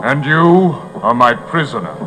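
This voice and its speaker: Storybook villain: fierce warrior, sharp forceful tone, raised pitch, imperious, faint music behind. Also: male voice, expressive, measured pace, low-quality recording. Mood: imperious threat. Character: fierce, cruel warrior program commanding the game grid.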